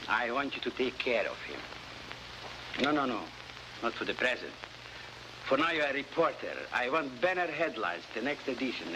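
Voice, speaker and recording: An actor playing a smug, scheming Latin American newspaper publisher in a theatrical, oily 1950s television style. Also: male voice, mid-range voice, natural voice. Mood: commanding. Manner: theatrical, oily, mock-courteous. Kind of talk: early 1950s TV adventure acting. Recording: noisy old 1950s television soundtrack.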